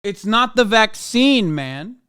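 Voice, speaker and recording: A man's voice: high-pitched, very animated, takes it slowly, bright, forceful tone, clear, resonant tone, no echo, clean studio sound. A man in his thirties ranting in casual reaction commentary, profane and exasperated. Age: 30s. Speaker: streamer reacting to clips.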